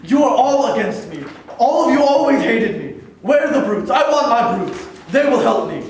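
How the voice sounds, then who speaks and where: A man's voice: extremely high pitch, very animated, moderate pace, a little hiss or hum. A man playing a proud, domineering cave king in a staged reading, speaking in a commanding, grandiose way. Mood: paranoid anger. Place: theater in New York. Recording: echoey hall from far off.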